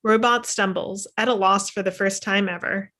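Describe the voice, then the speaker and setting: Mid-pitched woman reading stage directions. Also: steady tone, normal speed, pushed and bright. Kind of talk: scripted narration at a table read. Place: indoor room on a video call.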